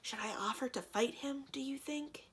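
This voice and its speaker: A woman's voice: high voice, moderate pace, a bit bright, voice pushed up. A woman voicing an earnest, hapless young man in a storybook, mild, hesitant and easily hurt. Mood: nervous.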